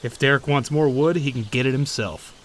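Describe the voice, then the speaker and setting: A man playing a teasing, warm-hearted young friend in an audio roleplay, conversational and given to playful grumbling. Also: medium pitch, brisk, slight background noise, lightly acted. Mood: gentle, reassuring. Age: young adult. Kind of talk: audio roleplay acting, addressing the listener.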